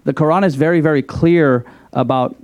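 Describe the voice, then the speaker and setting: A man speaking as an imam at a public hearing panel, explaining his faith in a measured way with light self-deprecating humor. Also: a little high, a little mellow, no room sound.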